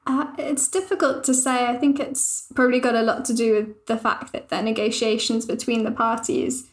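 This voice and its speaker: A woman journalist on a podcast, calmly explaining a topic in a thoughtful, measured way. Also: a little high. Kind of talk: casual podcast discussion. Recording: roomy echo.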